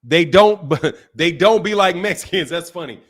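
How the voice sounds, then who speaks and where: Animated high-voiced man talking boxing. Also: moderate pace. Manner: animated, swears freely, repeats himself. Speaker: boxing talk show host reading chat. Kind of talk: casual live-stream chat.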